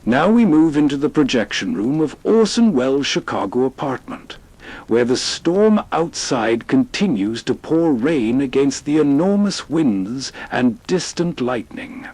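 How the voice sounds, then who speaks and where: A male host delivering steady, atmospheric scripted narration that sets a scene. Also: mid-range voice, measured pace, husky, no room sound, poor audio.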